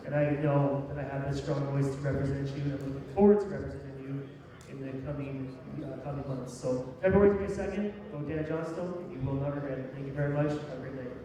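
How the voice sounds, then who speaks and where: A man making a folksy, emphatic campaign pitch as a council candidate at a public debate. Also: fast, dark tone. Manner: folksy, emphatic, plain-spoken. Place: large hall, public debate. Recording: echoey hall, distant mic, faint noise.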